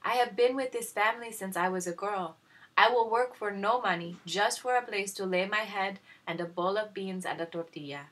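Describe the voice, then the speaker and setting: A woman's voice: medium pitch, moderate pace, a bit bright, voice pushed up, close to an everyday voice. A woman voicing a loyal, humble female household servant who implores, in a children's storybook read-aloud. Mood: pleading.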